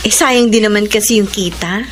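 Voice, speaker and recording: An actress playing a sweet, wistful young fiancée in a Filipino radio drama. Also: female voice, medium pitch, fairly quick, close to an everyday voice. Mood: casual, friendly. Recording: noisy ambient background.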